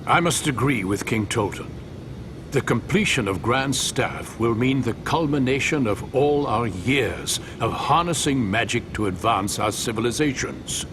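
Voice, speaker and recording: An actor playing a composed, courteous king in a fantasy game cutscene, speaking in a formal, measured, authoritative way. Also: medium pitch, lively intonation, noisy background, close to an everyday voice. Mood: resolute. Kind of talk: scripted video game cutscene acting.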